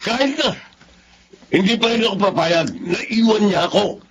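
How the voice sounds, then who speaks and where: A jilted lover in a Filipino radio drama, his voice fairly high for a man. He is indignant and possessive, stubbornly refusing to back down. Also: expressive, normal speed.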